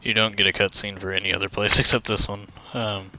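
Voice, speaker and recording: A man's voice: on the low side, level tone, a little fast, sharp tone, a little hiss or hum, poor audio. A man with a somewhat nasal voice giving laid-back, joking commentary on a game walkthrough through a headset mic that pops and cracks. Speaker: gaming channel host.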